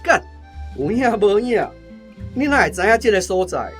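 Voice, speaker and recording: Blustering crude army sergeant, very animated. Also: male voice, high voice, normal speed, soft background music. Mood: gruff, blustering. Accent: Taiwanese. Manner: blustering, swearing, coarse. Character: crude, foul-mouthed, fat, lazy male army sergeant.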